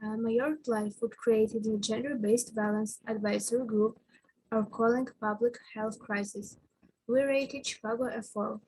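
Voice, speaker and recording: A young woman reading a prepared presentation in halting phrases with some broken wording over a video call. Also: a little high, fairly even intonation, darkish tone, a little hiss or hum, low-quality recording. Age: young adult. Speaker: youth ambassador presenter.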